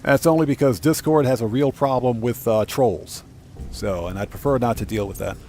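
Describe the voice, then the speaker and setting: A man's voice: medium pitch, normal speed, mellow, a little hiss or hum. A man giving casual, off-the-cuff commentary as he live streams a video game.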